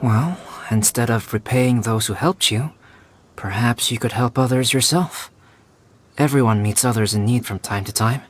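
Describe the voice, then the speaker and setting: An actor playing a calm, clever young alchemist in a video game, measured and articulate. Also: male voice, mid-range voice, natural voice. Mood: gently advising. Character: calm, clever young male alchemist, composed and gently wry. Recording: game music under voice.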